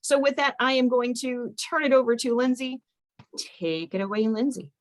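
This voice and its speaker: A woman's voice: on the high side, normal speed. A woman hosting a webinar, giving upbeat, chatty announcements and introductions. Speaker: webinar host. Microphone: computer mic on a video call.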